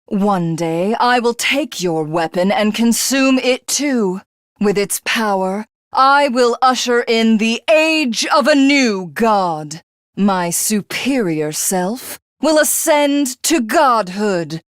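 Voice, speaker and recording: Alien warrior villainess, megalomaniac, haughty, theatrical, unhurried pace, mid-range pitch, video game character, dry studio sound. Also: female voice, expressive, no room sound. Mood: smug, menacing. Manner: haughty, grandiose, theatrical, self-satisfied. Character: megalomaniac alien warrior who devours rivals to become a god.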